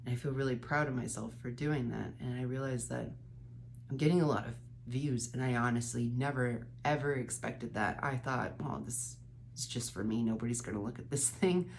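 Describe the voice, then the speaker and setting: A congested woman in her thirties records a late-night vlog at home, her voice sitting unusually low. She talks openly and wanders between thoughts, now and then cursing for emphasis. A faint hum runs underneath the phone-mic recording. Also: normal speed, vocal fry. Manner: candid, rambling, occasional swearing. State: stuffy, congested nose. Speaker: vlogger.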